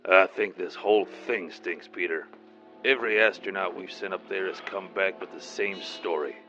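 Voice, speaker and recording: Man acting grim, very deep-voiced colonel. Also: fairly even intonation, moderate pace. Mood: suspicious, grave. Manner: terse, suspicious, ominous. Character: grim, suspicious military colonel. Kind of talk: comedic radio play acting. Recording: muffled audio with music behind.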